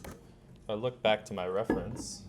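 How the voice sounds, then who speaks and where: A young man presenting a casual software tutorial, talking informally as he demonstrates, with keyboard typing. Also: fairly low pitch, moderate pace. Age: young adult. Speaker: workshop presenter. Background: faint room noise, keyboard typing.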